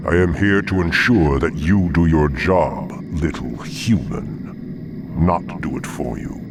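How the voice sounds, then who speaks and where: An actor voicing a sinister, condescending demon dreadlord in a video game, ominous and haughty, in a distant echoing recording. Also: male voice, rumbling low, slow pace, gravelly, heavy background noise, exaggerated voice. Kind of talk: video game cutscene acting.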